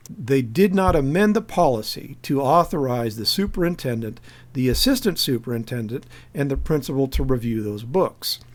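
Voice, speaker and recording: A man's voice: medium pitch, darkish tone. An American man delivering spoken rebuttal commentary in an emphatic, pointed, argumentative way. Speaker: activist commentator giving a response.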